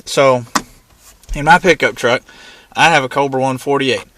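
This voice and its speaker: A man's voice: medium pitch, normal speed, bright, forceful tone, a little hiss or hum. A man giving a casual, hands-on tutorial in an informal, plain-spoken way, explaining things step by step. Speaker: hobbyist demonstrating a vehicle radio installation. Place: in and around a parked pickup truck.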